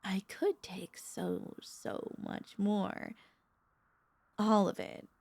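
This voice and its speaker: A woman playing a possessive, doting royal vampire mistress in an intimate ASMR audio roleplay, speaking in a tender, teasing, halting way with a creaky voice. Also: medium pitch. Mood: teasing, longing. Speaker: voice actor and vtuber.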